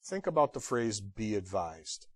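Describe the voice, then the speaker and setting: A man giving an instructional lecture as a trainer, blunt and direct with wry humor and emphatic pauses on key phrases. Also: lowish voice, very animated, dry recording, studio quality. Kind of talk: instructional lecture on radio procedure.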